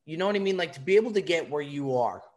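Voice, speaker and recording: A man's voice: on the high side, animated, very fast. Emphatic male podcast host. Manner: emphatic, earnest, teasing. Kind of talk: casual podcast chat.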